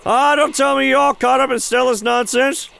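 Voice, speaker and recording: A man's voice: extremely high pitch, steady tone, normal speed, gravelly, bright, forceful tone, clear, resonant tone, pitched above the performer's own voice, exaggerated voice. A man voicing an irate, grieving rural chicken farmer in a game read-aloud, exclaiming in folksy speech. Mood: scolding, upset.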